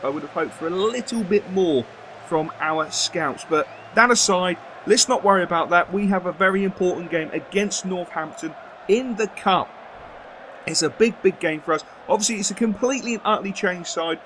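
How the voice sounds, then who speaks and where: A man's voice: high voice, a bit bright. A young man commenting on his own football video game career, emphatic and prone to repeating words for stress. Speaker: gaming video host. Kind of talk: gameplay commentary.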